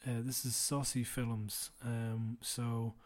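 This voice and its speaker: A tired man hosting a podcast late at night, speaking low and quiet in a rambling, self-deprecating way. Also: little pitch movement, takes it slowly. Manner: rambling, self-deprecating, drops to a mutter at times. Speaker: podcast host. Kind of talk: casual solo housekeeping chat.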